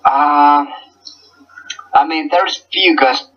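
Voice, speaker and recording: A young man from Finland with a Finnish accent, a guest coach talking in a casual online interview, halting with many fillers and restarts. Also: fairly high pitch, far from the mic, lo-fi sound.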